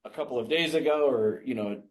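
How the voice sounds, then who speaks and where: A man's voice: medium pitch, quick pace, poor audio. A man co-hosting a podcast in casual conversation, earnestly warning about scams and acting out what scammers say. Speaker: podcast co-host.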